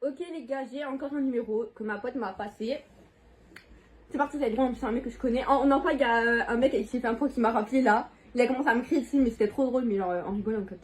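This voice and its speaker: A woman's voice: a little high, fast talker, crowd noise. A teenage girl chatting casually and slangily as a video host, exclamatory and easily exasperated. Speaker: video host making prank calls. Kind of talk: casual slangy vlog chat.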